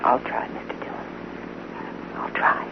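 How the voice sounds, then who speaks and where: A woman's voice: measured pace, close to an everyday voice. A woman playing a sorrowful, newly widowed frontier mother in an old radio western, hesitant and restrained. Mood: subdued sorrow. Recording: muffled, noisy old radio recording.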